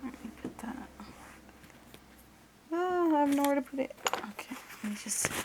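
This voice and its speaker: A woman with a creaky voice doing an ASMR roleplay in her normal speaking voice, casual and hesitant as she talks through each step. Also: on the high side, slight background noise, poor audio. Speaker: ASMR creator playing an examiner.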